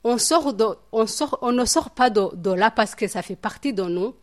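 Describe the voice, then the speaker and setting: A woman's voice: fairly high pitch, expressive, moderate pace. A woman from Rwanda leading a Bible study in French. She talks in a hesitant, reflective way, with many fillers and repeated words. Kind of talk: informal Bible teaching.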